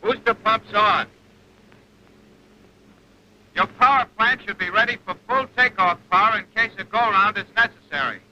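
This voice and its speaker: A man's voice: sits high, moderate pace, pauses often, sharp tone, lo-fi sound. A man playing a seasoned, exacting bomber flight instructor in a 1940s training film, with a clipped, instructional manner. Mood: brisk, firm. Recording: old 1940s film soundtrack, engine noise.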